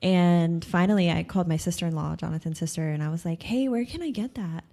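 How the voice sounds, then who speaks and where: Woman, podcast guest, fairly low voice, thoughtful, hesitant, lots of ums. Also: fast talker, vocal fry, mellow. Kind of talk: casual interview chat.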